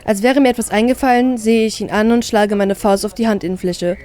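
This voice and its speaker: Woman reading a story out loud, medium pitch, expressive first person narrator. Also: a little fast.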